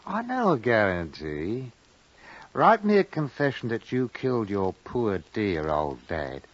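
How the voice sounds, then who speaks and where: An actor playing a shrewd, blunt baker who drives a bargain in a dry, matter-of-fact way, in old-time radio drama style. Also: male voice, lowish voice, big pitch swings, a little slow. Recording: muffled vintage radio recording.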